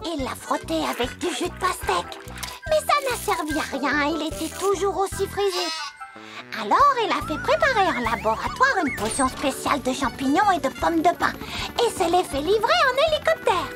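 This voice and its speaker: A very high, swooping little cartoon child. Contrary and know-it-all, this one tells stories with emphasis over background music. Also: female voice, big pitch swings, moderate pace, full character voice. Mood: contrary, earnest. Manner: emphatic storytelling. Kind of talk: French children's cartoon dub. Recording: music behind the voice.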